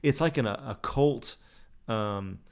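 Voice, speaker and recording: Man, mid pitch, casual podcast co-host, laid-back, swears a lot. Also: expressive, takes it slowly, vocal fry, clean tone. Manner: laid-back, swears freely, laughs often. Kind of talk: casual comic-book discussion.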